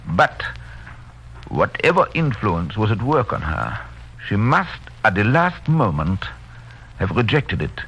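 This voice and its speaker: An intense, dramatic vampire hunter. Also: male voice, on the low side, big pitch swings, takes it slowly, fairly bright tone, breathy edge, exaggerated voice. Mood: grave. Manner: intense, theatrical, declamatory. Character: urgent, authoritative male doctor hunting a vampire. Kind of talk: 1930s radio drama acting. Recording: dull, noisy vintage radio recording.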